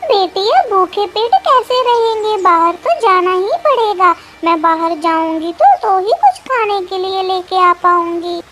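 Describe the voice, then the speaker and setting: Very high-pitched little mother sparrow. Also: animated, measured pace, few pauses, a bit bright, slight background noise, full character voice. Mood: determined. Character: small mother sparrow, hard-working, wronged but determined. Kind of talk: children's cartoon story acting.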